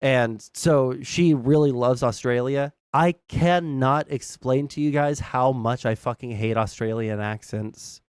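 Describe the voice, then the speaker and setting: A man's voice: medium pitch, a little slow, few pauses, darkish tone, smooth, dry recording. A young American man giving casual, rambling, blunt political commentary as a livestream host, swearing freely. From southern United States.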